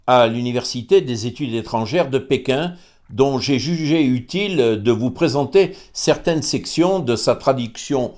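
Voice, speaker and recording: Male host reading his own commentary: measured, pointed, with pauses and hesitations. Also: medium pitch, a little mellow. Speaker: host presenting a commentary series. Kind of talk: scripted commentary read aloud.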